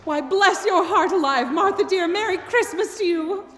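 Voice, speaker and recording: A woman's voice: very high voice, normal speed. A woman playing an affectionate, fussing mother of a poor family in a radio play. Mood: loving, welcoming. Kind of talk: theatrical radio play acting. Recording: echoey, reverberant hall.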